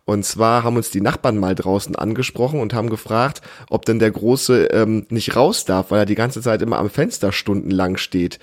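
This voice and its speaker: A man's voice: on the low side, moderate pace, few pauses. Encouraging man chatting as podcast host. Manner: encouraging, explanatory, prompting with questions. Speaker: podcast host, father interviewing his child. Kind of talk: casual family podcast chat.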